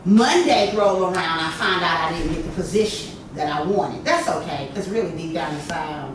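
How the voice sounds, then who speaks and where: A woman hosting a workshop, telling stories to a small group in a lively, chatty way, with a lot of "you know.". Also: fairly low pitch, normal speed, far from the mic. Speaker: workshop host. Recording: echoey room, from afar, noisy.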